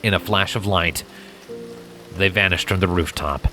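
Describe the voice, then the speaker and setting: A man's voice: low voice, fairly even intonation, moderate pace, pushed and bright. A man reading fan fiction as a dramatic, scripted storybook narration. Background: music and rain effects.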